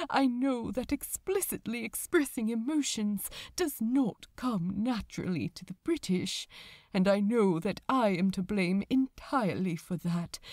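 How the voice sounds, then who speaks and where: A woman's voice: fairly high pitch, darkish tone, no echo, studio quality. A woman voicing an anxious, formal British male angel in an audiobook, earnest and lovestruck, speaking precisely and hesitantly. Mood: nervous, formal. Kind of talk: audiobook character dialogue.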